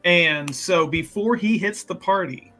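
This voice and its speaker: Male tabletop player, slightly high voice, improvising story casually with emphatic, repetitive asides, faint music behind. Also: unhurried. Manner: animated, emphatic, rambling and repetitive. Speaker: player in a tabletop roleplaying game.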